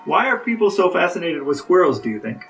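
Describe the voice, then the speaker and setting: A man's voice: high voice, big pitch swings, fast, a bit bright, far from the mic, faint music behind. A man co-hosting a podcast in casual chat, asking tongue-in-cheek questions in a mock-serious way. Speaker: podcast co-host.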